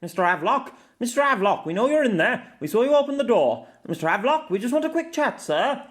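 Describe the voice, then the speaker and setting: A man voicing an agitated police officer who calls out loudly and urgently through a door. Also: extremely high pitch, sing-song, fast, voice pushed up, heavily acted. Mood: agitated calling. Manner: loud, urgent calling.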